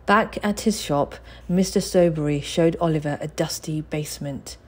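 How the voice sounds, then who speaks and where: A woman reading a children's audiobook with expressive, scripted narration. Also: low-pitched, normal speed, slightly rough. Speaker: audiobook reader.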